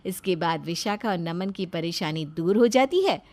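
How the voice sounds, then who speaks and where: A woman's voice: lowish voice, expressive, moderate pace, a little mellow. A woman giving scripted narration of a moral story as its storyteller.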